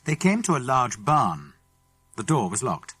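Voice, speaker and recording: A man's voice: mid-range voice, big pitch swings, measured pace, fairly bright tone, husky, no echo, faint music behind. A man with a British accent reading a children's story as an audiobook narrator.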